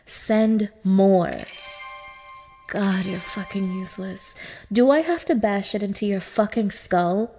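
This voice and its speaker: A woman's voice: medium pitch, slow, dark tone, faint music behind. A woman playing a cruel, scornful dominatrix in an intimate ASMR-style audio roleplay, talking down to her listener with open contempt. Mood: greedy, scornful. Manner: sneering, scornful, swearing, swinging from breathy murmurs to raised snaps. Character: cruel, contemptuous young dominatrix belittling a submissive man.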